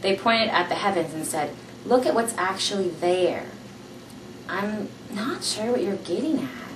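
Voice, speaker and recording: A young woman reading a novel aloud in a small room, in a plain, steady reading voice, recorded on a camera from across the room. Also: medium pitch, a little slow. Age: young adult. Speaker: amateur reader. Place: small room indoors, seated on a couch. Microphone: camera mic from across the room. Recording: roomy, distant camera audio with faint hiss.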